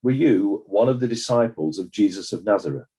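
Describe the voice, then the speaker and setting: Man acting as mellow, formal inquest examiner. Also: fairly low pitch, lively intonation, natural voice. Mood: formal, probing. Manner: formal, measured, official. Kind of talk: dramatized courtroom questioning.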